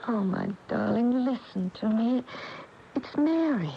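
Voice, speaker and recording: Emotional wife, muffled radio drama. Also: female voice, fairly high pitch, lively intonation, slow pace, gravelly, full character voice. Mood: tender, anxious. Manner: pleading, emotional. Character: anxious, emotional wife, tender and overwrought. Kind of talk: melodramatic 1980 radio acting. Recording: muffled noisy AM radio broadcast.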